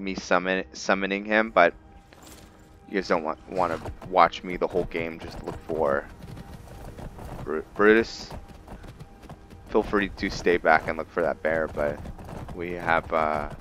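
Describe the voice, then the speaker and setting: A man with a deep voice hosts a roleplay playthrough of a game. He speaks slowly and deliberately, stopping often mid-thought as he comments. Faint game ambience sits behind his voice. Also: a bit bright, slight background noise. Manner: halting, deliberate, with long pauses. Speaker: gamer hosting a roleplay playthrough.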